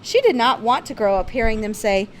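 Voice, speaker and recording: Female storybook reader, higher-pitched and expressive, with light background noise. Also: a little fast, low-quality recording. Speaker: storyteller reading a picture book aloud. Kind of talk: read-aloud children's storybook narration.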